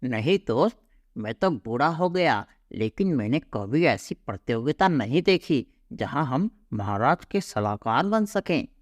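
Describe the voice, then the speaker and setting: A man voicing an old, friendly jungle animal chatting respectfully in a children's animated story. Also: mid-range voice, unhurried, dry recording, studio quality. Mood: reflective.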